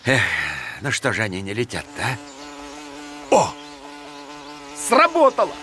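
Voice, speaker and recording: A man voicing an excitable, stubborn, scheming polar bear in a children's cartoon, exclaiming and muttering theatrically. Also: mid-range voice, a little slow, noisy background. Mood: muttering, impatient. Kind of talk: animated children's cartoon acting.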